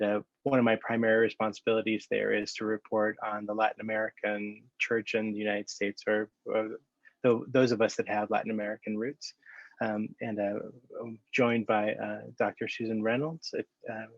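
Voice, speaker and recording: Man with a flat, low voice on a dull call. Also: dry recording. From Dominican Republic. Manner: halting, many fillers and restarts. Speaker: panel moderator, magazine editor. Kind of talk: online panel discussion. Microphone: video call. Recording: dry, dull lo-fi video call audio.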